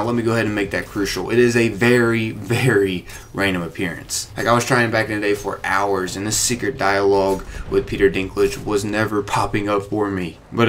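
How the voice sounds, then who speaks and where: A man's voice: fairly low pitch, a little hiss or hum. A young man hosting a gaming video with informal, conversational commentary.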